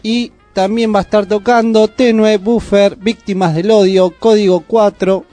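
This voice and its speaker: An Argentine man co-hosts a community radio show in a high, light voice. He talks in a loose, slangy, joking way and reads out gig details. Faint music runs underneath. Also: fairly even intonation, unhurried, mellow, no echo. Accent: Argentine Spanish. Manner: laid-back, slangy, jokey. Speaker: radio co-host. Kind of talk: casual community radio chat.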